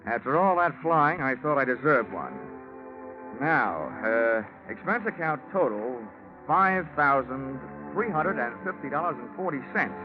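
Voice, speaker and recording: A man's voice: mid-range voice, lightly acted. An actor playing a wisecracking, laconic American insurance investigator narrating his case in 1940s radio detective style. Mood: wry, musing. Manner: wry, laconic, hard-boiled. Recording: muffled vintage radio recording with music.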